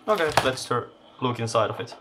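A man giving unscripted hands-on commentary as he takes electronics apart, halting and thinking aloud in short phrases. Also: medium pitch, animated, background noise. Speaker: host of electronics teardown videos. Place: indoor electronics workbench.

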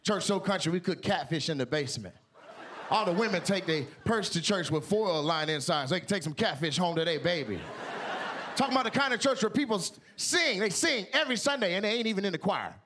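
A man from Seattle working a studio stage with a handheld mic, his voice pitched high and swinging wide as he tells stories. He gets loud and animated and shouts the punchlines. There is a noisy hiss behind him. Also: brisk. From Seattle, Washington. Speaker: stand-up comedian. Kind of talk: stand-up comedy. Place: large TV studio stage with live audience.

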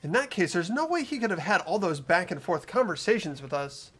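A woman's voice: fairly low pitch, big pitch swings, brisk, fairly bright tone, husky, faint music behind, heavily acted. A woman voicing an earnest, hesitant ordinary teenage boy in a visual novel dub. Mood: doubtful, earnest.

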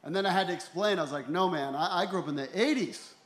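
A man in his forties doing a deadpan, self-deprecating stand-up routine into a handheld stage microphone. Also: sits high, fast talker. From Ecuador and El Salvador. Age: 40s. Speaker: stand-up comedian. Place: small comedy venue in a tent, on stage. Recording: roomy live comedy club sound.

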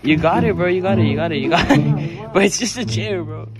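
A man's voice: high-pitched, a little fast, over loud music. A young man in his late teens filming a casual vlog on his phone, joking with friends and saying bro a lot, mixing in some Spanish words. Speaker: vlogger. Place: house, car and restaurant. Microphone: handheld phone at arm's length.

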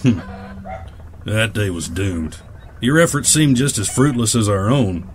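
A weary old pilot turned farmer acted by a man in a radio drama, with a low voice and plain, folksy talk. He is proud, loyal and bitter, brooding over his words. There is steady noise behind him. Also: a little slow. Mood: somber, hesitant. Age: older. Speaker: voice actor. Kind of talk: audio drama acting.

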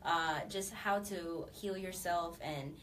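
A woman's voice: lowish voice, takes it slowly, distant mic, a little hiss or hum. A young woman hosting a studio interview, affirming her guest often. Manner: steady affirming "mm-hmm" backchannel. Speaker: host. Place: small studio set, indoors.

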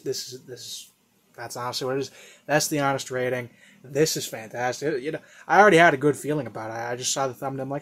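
A man's voice: medium pitch, slightly rough. A young man hosting a reaction video from a room at home, chatting casually, rambling and repeating himself. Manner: rambling, repeats "yo" and "dude", trails into mumbles. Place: home room with a flag on the wall.

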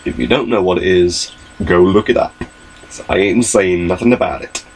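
Low, gravelly young man chatting on webcam. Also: moderate pace, a little mellow, slight background noise, poor audio. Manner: rambling, chatty, gestures a lot. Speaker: vlogger. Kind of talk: casual webcam update. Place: small bedroom, indoors. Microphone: laptop webcam mic.

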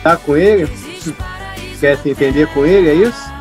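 A man playing a devoted, concerned boyfriend in an audio drama, gently asking questions. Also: on the high side, moderate pace, soft background music, natural voice. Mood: tender, concerned. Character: devoted, concerned male lover.

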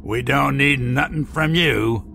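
A man's voice: medium pitch, slow pace, creaky, sharp tone, faint music behind, heavily acted. A man playing a crude, threatening uncle who holds a gun on the people in front of him. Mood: menacing. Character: gruff menacing uncle holding a gun, hostile. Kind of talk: audio drama acting.

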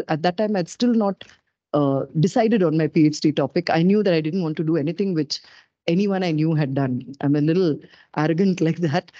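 A middle-aged woman with a low-pitched voice gives an animated, self-deprecating public lecture into a podium microphone. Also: no echo, lo-fi sound. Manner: animated, self-deprecating, gestures while talking. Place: dark auditorium stage.

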